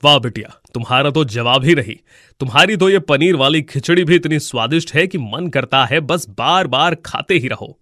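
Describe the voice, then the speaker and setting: A man playing a friendly, delighted food-stall customer who speaks effusively in an animated moral story. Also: a little high, moderate pace, no room sound, clean studio sound. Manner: effusive, praising.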